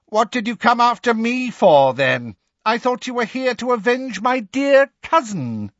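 A man voicing a cruel, gloating dark witch in an audiobook, taunting and fanatical with drawn-out words. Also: very high-pitched, sing-song, dry recording, dull audio, pitched above the performer's own voice, heavily acted. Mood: mocking, gloating. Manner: taunting, drawn-out, mock baby talk at times. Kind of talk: audiobook character voice.

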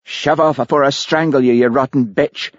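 Audiobook role: earnest, easily flustered young man, done by a male reader, animated, slightly husky, dry close recording. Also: mid-range voice, moderate pace, no echo, highs cut off, pitched above the performer's own voice. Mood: furious.

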